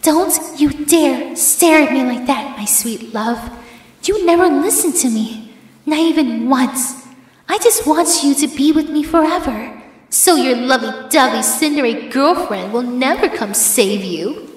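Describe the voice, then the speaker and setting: High-pitched obsessive yandere girlfriend in echo. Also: animated. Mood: sweetly possessive. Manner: slow, drawn-out, cooing endearments turning threatening. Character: possessive, obsessive yandere ex-girlfriend, sweetly menacing young woman. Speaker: audio roleplay performer. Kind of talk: ASMR horror roleplay acting. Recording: echoey reverberant hall effect.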